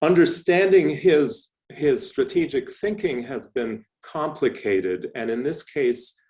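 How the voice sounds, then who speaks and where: A middle-aged man from Canada giving a lecture presentation, speaking in a thoughtful, measured way with frequent restarts and fillers. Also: mid-range voice, a little mellow. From Canada (Toronto). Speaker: professor giving a talk.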